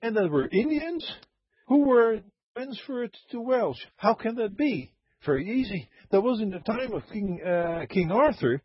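A man calling into an online voice chat show, telling a story in a halting, rambling way with many fillers and frequent laughs. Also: high voice, big pitch swings, breathy edge, muffled recording. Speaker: caller.

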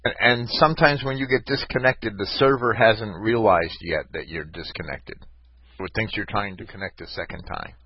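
A man's voice: fairly low pitch, moderate pace, slightly rough, no echo, lo-fi sound. A man hosting a casual online voice chat show, talking with callers in a wry, skeptical, conversational way. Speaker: host.

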